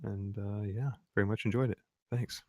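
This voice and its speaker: A man's voice: on the low side, steady tone, normal speed, no echo. A man giving casual feedback on a script in brief, clipped phrases. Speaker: table read participant giving script feedback.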